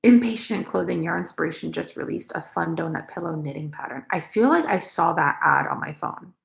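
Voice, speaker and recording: A young woman with a naturally raspy voice, chatting casually with viewers on a crochet livestream and rambling with lots of fillers. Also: low voice, fairly quick. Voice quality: raspy, gravelly. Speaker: crochet vlogger. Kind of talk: casual livestream chat, reading comments.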